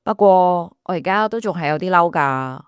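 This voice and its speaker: An actress with a Hong Kong Cantonese accent playing a chatty young office worker in a radio drama, casual and expressive with a friend. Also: female voice, fairly low pitch, a little fast, clean tone, no room sound, studio quality. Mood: upset, complaining.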